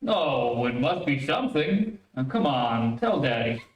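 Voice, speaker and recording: A man's voice: mid-range voice, very animated, measured pace. Man as echoey, swooping, exasperated radio dad. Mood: coaxing. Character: exasperated, coaxing father of a little girl. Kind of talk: old-time radio comedy acting. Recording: echoey, distant, faintly noisy stage stream.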